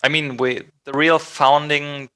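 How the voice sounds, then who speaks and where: A man's voice: fairly high pitch, flat delivery, unhurried, bright, forceful tone, smooth. A man from southern Germany with a German accent, speaking casually as an interview guest. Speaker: band vocalist, interview guest.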